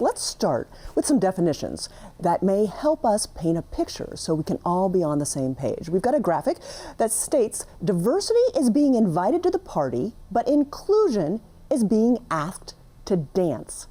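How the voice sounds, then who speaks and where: Lady host running a tv panel, mellow voice, pitch all over the place, upbeat. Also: very animated, moderate pace. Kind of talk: moderating a panel discussion.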